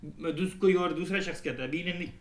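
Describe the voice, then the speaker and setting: Echoey higher-voiced Pakistani man lecturing. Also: a little fast. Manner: didactic, poses questions then answers them. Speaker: law lecturer. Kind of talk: explanatory lecture. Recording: echoey, roomy sound.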